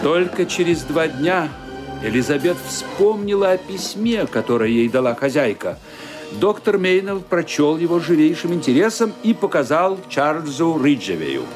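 Animated, higher-pitched male narrator over music. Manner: suspenseful, deliberate phrasing with dramatic emphasis. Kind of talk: dramatic scripted radio narration.

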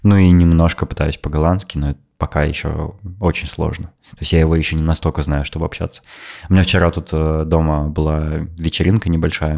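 Deep-voiced young guy on a podcast, rambly, lots of ums and restarts. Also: vocal fry, a little mellow. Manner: rambling, with frequent hesitations and restarts. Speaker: podcast co-host. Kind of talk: casual chat.

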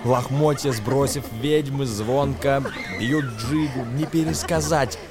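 An actor playing an excitable storyteller who relishes a lurid witches' tale, reciting emphatic, theatrical verse for a radio play. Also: medium pitch, slow pace, lightly acted. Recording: music behind the voice. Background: music.

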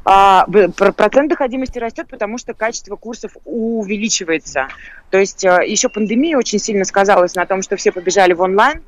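A woman's voice: mid-range voice, brisk, no echo. A female guest expert in a live interview. Her sound is close and dry, and she explains her points in a conversational way. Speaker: guest expert, lawyer for online schools.

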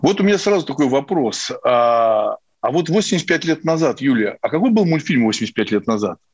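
Brief: male radio interviewer, middle-aged, medium pitch, slightly rough voice, dry studio sound, conversational and probing. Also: big pitch swings, fairly quick, dry recording, lo-fi sound. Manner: conversational, probing, prompts with tag questions. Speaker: radio host.

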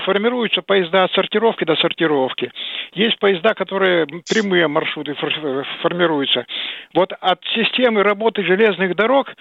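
A man of eighty phones in to a radio show with a high, creaky voice. He speaks haltingly, doubling back on his words, over a dry, thin telephone line. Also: no room sound. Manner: halting, stammering, repeats himself. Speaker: radio show caller, retired military transport officer. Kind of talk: hesitant phone-in talk.

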